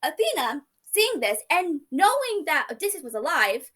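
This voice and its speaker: A woman's voice: high-pitched, normal speed. A young woman giving a class speech, telling stories in a playful, casual way. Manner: lively, playful storytelling with casual asides. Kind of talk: informative speech.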